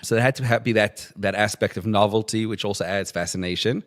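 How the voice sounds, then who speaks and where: Low-voiced author listing his criteria. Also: fast. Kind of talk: interview answers.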